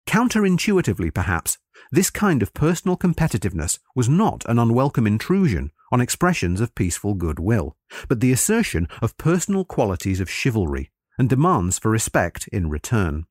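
A man delivering a scripted history lecture in formal, measured, scholarly phrasing. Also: medium pitch, huge pitch swings, a little mellow, no echo, studio quality.

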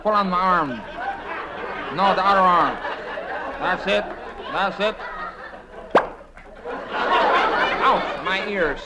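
A man playing a flustered, folksy bandleader hosting a champagne-music show in an old comedy parody, with halting patter. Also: sits high. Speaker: bandleader and host in a parody sketch. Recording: noisy vintage recording with loud crowd laughter.

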